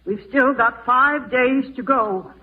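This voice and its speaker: An actress in an old-time radio horror drama playing a weary, stubborn, distrustful middle-aged wife. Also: female voice, high voice, slow, distant mic, narrow, tinny audio, faint music behind. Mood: firm, stubborn. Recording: thin, band-limited old radio recording.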